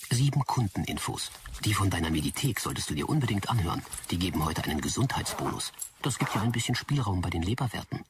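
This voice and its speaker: Smug low-pitched AI assistant. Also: male voice, big pitch swings, fast, distant mic, background noise. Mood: dry, matter-of-fact. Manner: matter-of-fact, slightly cheeky. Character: male AI implant assistant, smug, dry, officious. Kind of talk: sci-fi audio drama acting.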